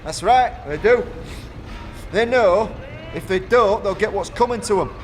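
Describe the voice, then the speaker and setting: A man playing a blustering high-pitched foundry foreman. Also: a little slow, soft background music. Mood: smug, self-important. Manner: rustic dialect grammar, blustering, self-justifying. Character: gruff, self-important, rough foundry foreman, defensive and boastful. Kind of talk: fantasy audio drama character acting.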